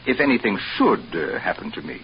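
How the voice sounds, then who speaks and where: An actor playing a pompous, domineering hotel manager in an old radio drama, speaking formally and with deliberate condescension. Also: male voice, on the low side, wildly swooping pitch. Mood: cold, commanding. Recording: dull, faintly noisy old radio recording.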